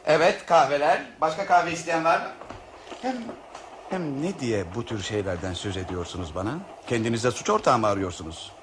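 A man's voice: medium pitch, lively intonation, brisk, fairly bright tone, close to an everyday voice. Guy playing a chatty suspicious train waiter, kinda self-important, old radio play with light noise. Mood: dismissive, wary. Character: wary, talkative train coffee waiter, man. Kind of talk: radio drama acting. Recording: noisy radio play recording.

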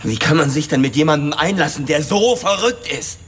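A man's voice: a little high, lively intonation, a little fast, faint music behind, close to an everyday voice. A man playing a hard, accusing police detective in a radio drama, confrontational and demanding. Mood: probing.

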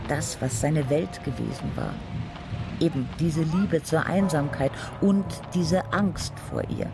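A man with a slightly high, creaky voice narrates a literary radio play. Loud music plays along with him. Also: slow pace.

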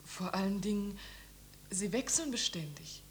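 A woman's voice: mid-range voice, animated, unhurried, close to an everyday voice. Woman playing a delicate, timid young lady. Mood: light. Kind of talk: 1950s German radio drama acting. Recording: noisy 1950s radio recording.

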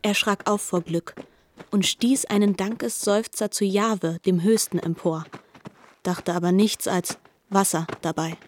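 A woman's voice: medium pitch, fairly even intonation, measured pace, a little hiss or hum. A woman narrating scripted literary prose as part of a radio drama.

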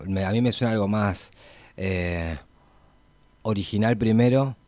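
A man's voice: on the low side, measured pace, a little mellow, dry recording. A man with a Rioplatense Argentine accent chatting casually as a guest singer on a radio show, thinking aloud tentatively.

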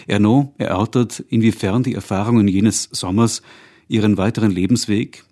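A man giving a measured, factual scripted introduction to a radio play as presenter. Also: fairly low pitch, a little mellow.

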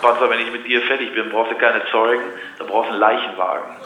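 A man's voice: mid-range voice, flat delivery, fast, roomy sound, very noisy. A man arguing on a call in a blunt, confrontational, stammering way. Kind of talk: annoyed phone argument.

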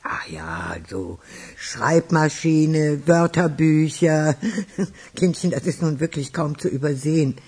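A woman playing a chatty, proud retired music teacher in a radio drama, teasing and theatrical, with a husky older voice. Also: low voice, expressive, darkish tone, heavily acted. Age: 60s or older. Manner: teasing, drawn-out, theatrical delivery. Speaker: actor in a radio crime drama.

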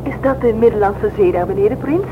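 A woman's voice: mid-range voice, a little fast, background noise, close to an everyday voice. A woman playing a cultured, curious, self-assured lady aviator in a radio drama, on a muffled old recording. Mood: curious, wistful.

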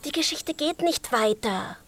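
A woman's voice: sits high, lively intonation, unhurried. A woman playing a bored, bossy little chick, blunt and teasing, in a children's radio play. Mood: stubborn, cheeky.

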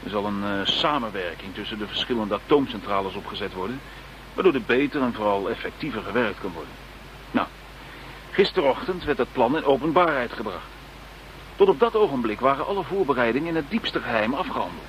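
Easygoing chief inspector played by a man, mid-pitched. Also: expressive, fairly quick, fairly bright tone, natural voice, low-quality recording. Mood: explanatory. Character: unruffled British chief police inspector. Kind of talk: radio drama acting. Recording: faint background noise, old radio play.

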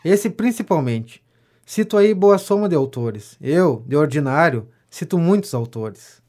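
An actor playing a vain, self-important gentleman pamphleteer in a Brazilian audio drama comedy, declaiming pompously and flattering as he goes. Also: fairly high pitch, a little slow. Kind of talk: audio drama of a 19th-century stage comedy.

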